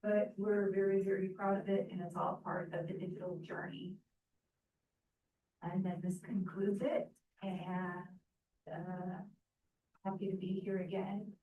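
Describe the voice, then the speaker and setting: A woman presenting at an event, speaking at an unhurried pace with some fillers. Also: medium pitch, flat delivery, narrow, tinny audio. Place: event room. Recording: roomy, distant mic with faint noise.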